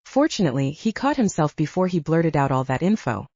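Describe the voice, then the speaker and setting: A woman narrates fiction in a low-set, husky voice. Her delivery is a scripted audiobook read with plenty of melodic movement. The sound is close and dry. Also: sing-song, brisk, darkish tone, dry recording, lo-fi sound.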